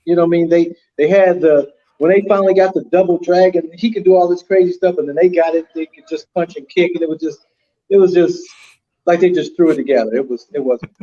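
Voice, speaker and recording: Mellow higher-pitched man, dull lo-fi sound. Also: fairly even intonation. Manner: halting, repeats words while searching for them. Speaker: panelist on an online video game show. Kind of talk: casual opinion chat.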